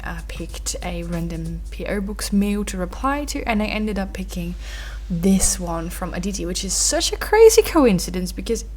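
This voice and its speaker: A young Dutch woman with a Dutch accent, casually narrating her craft video in a chatty, rambling way with many fillers. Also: on the low side. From Netherlands. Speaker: craft vlogger. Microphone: voice-over recorded separately from the footage.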